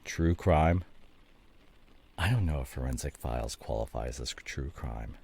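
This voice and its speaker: A man acting a devoted, remorseful husband in an intimate ASMR roleplay drama, soft and halting, with a husky voice. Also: a bass voice, lively intonation, heavily acted. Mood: casual, teasing. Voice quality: husky, gravelly, often breathy. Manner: soft, halting, drops into whispers. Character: remorseful, devoted husband nursing his injured wife, teasing.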